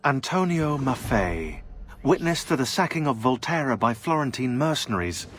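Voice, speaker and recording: An actor playing a wry briefer in a video game, narrating villain profiles in a dry, informative manner. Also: male voice, mid-range voice, very animated, normal speed, fairly bright tone, slight background noise. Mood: wry briefing. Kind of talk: scripted character briefing narration.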